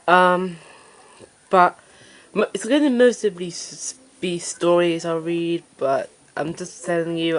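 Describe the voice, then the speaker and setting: Deep-voiced nervous woman. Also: poor audio. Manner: nervous, hesitant, many fillers. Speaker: story reading channel host. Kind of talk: casual self-introduction to camera.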